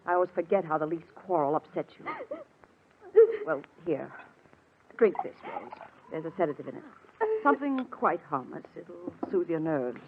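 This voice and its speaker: Cold, scheming young woman, radio melodrama; biting, very animated delivery, dull noisy old recording. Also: sits low, moderate pace. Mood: coaxing, controlling. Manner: sharp, biting. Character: sharp, jealous, scheming young woman, coldly commanding.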